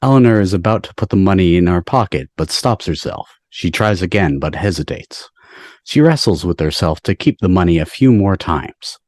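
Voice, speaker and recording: A man's voice: sits low, lively intonation, normal speed, a little mellow, dry recording. A man on a video call reading stage directions as narrator of an amateur table read.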